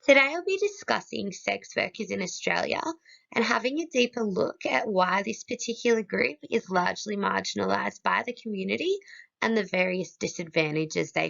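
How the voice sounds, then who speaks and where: Female student presenter, hoarse from a cold, heavy vocal fry, animated delivery, steady list reading. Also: mid-range voice. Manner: steady, listing one item after another. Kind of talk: read-aloud presentation. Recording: dull lo-fi recording.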